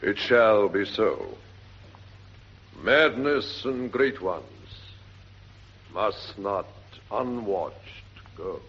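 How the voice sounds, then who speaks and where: An actor playing a shrewd, suspicious middle-aged king in 1940s British radio Shakespeare, measured and authoritative, with husky Received Pronunciation. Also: on the low side, expressive, a bit bright, heavily acted. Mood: grave. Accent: English Received Pronunciation. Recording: dull 1940s radio recording.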